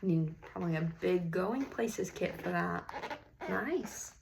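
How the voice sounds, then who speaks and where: A woman reads a children's story at an unhurried pace, with noticeable vocal fry. She sounds like an encouraging teacher, stopping often to ask the listeners questions. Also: fairly low pitch, expressive, noisy background. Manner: encouraging, teacherly, pausing and gasping theatrically. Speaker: read-aloud host, teacher-like reader. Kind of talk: children's picture book read-aloud with questions to the listeners.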